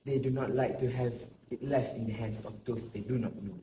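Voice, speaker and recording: A man playing a solemn, sorrowful grandfather in his eighties, speaking deliberately as in an amateur stage play. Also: mid-range voice, steady tone, natural voice. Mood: sorrowful, resigned. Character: sorrowful, grave old grandfather of nearly eighty-three. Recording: roomy echo with faint noise.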